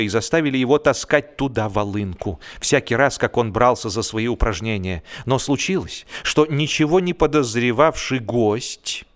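A man performing a humorous literary narration in an expressive, mock-serious manner with dramatic pauses. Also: mid-range voice.

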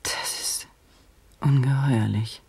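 An actress playing a driven, troubled woman journalist in a radio play, speaking in a halting, introspective, intense way. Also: fairly even intonation, darkish tone, exaggerated voice. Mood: shaken, probing. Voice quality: low, husky. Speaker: radio drama actor. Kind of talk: German radio play, intimate monologue and interview.

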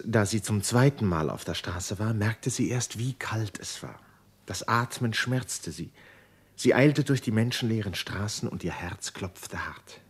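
Low, husky male storyteller. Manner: measured storytelling. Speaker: narrator. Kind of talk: scripted literary radio play narration.

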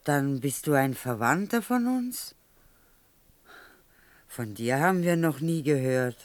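A woman's voice: extremely low pitch, expressive, slow, exaggerated voice. Old mom sticking up for her son in a radio play, voice moves up and down a lot. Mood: wary. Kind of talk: German radio play acting.

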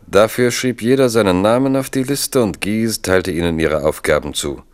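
A man's voice: fairly low pitch, moderate pace. A man narrating a scripted historical radio drama.

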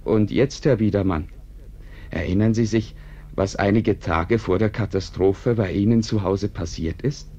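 An actor playing an urbane author who narrates a radio play with courteous, dry irony in measured phrases. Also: male voice, fairly low pitch, noisy background. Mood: courteous, ironic. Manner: courteous, dryly ironic, measured. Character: urbane, ironic male author narrating his own tale. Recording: dull, band-limited radio recording.

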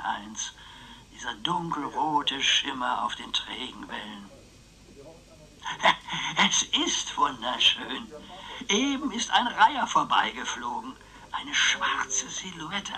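An eccentric, scheming old uncle in his eighties for radio drama. His voice is very bright and on the high side, swinging widely as he chats theatrically and playfully, stretching out his phrases. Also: creaky, full character voice, low-quality recording. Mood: dreamy. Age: 80s. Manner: theatrical, chatty, drawn-out phrases. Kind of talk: German radio crime drama acting.

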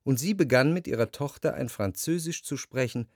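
An actor playing a reflective, possessive, melancholy gentleman who narrates a literary radio drama in a measured, articulate, introspective way. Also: medium pitch, dry recording, studio quality, close to an everyday voice. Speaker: first-person narrator. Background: music.

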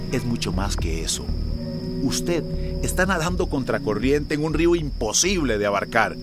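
A man's voice: medium pitch, close to an everyday voice. A man playing a cocky, taunting people smuggler in a radio drama, theatrical and self-assured.

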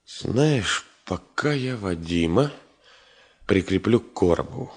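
An actor playing a driven, warm-hearted middle-aged engineer in a Soviet radio drama, colloquial and animated, moving between muttering and exclaiming. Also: male voice, deep voice, takes it slowly, exaggerated voice. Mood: determined, intimate. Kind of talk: naturalistic 1970s Soviet radio drama acting.

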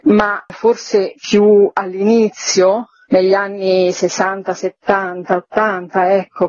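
A woman gives explanatory interview answers as an artistic director, speaking very slowly and pausing to search for words. Her voice sits a little high, and the sound is dry and slightly muffled. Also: darkish tone, no room sound.

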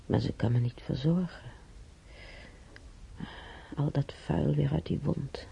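An actress playing a weary, timid elderly former housemaid in a radio play, with a breathy, husky voice and halting, sighing delivery. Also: female voice, sits low, warm tone, slight background noise, full character voice. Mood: weary, musing. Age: older. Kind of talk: naturalistic radio drama monologue and dialogue. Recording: dull, muffled radio play recording.

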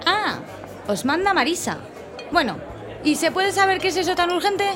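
Una mujer interpretando a una joven archivera irritable y sarcástica en una ficción sonora. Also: female voice, extremely high pitch, sing-song, normal speed. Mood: wary, annoyed. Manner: sarcastic, exasperated. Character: irritable, sarcastic young female file clerk. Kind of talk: audio drama acting. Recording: voice over music and bar crowd.

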